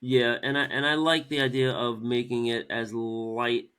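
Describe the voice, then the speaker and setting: A man's voice: mid-range voice, level tone, normal speed, pushed and bright, smooth. A man co-hosting a podcast about comics, talking in a casual, conversational way. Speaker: podcast co-host and comic writer.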